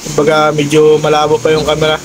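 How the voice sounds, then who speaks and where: A man with a slightly high voice talks casually as a motovlogger. He is chatty and keeps turning to his listeners with short tag questions, as if talking with friends. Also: completely flat delivery, fast, noisy background. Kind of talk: casual vlog chat to viewers. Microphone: old cellphone, no intercom.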